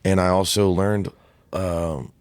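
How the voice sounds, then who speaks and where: A man from Ohio with a deep voice, a guest singer-songwriter talking casually about his craft, relaxed and chatty with lots of "dude" and "right?". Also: slow pace, no room sound. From Ohio, USA. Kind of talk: casual podcast conversation.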